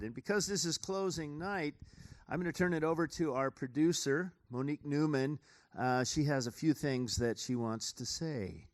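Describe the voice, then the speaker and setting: Guy, pastor type, talking to a theater crowd, earnest and kinda preachy, informal. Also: medium pitch. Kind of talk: informal address to a theater audience.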